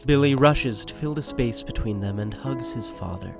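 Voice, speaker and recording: A man's voice: medium pitch, animated, normal speed, creaky, loud music behind the voice. A man playing the wry, dramatic narrator of a scripted audio drama.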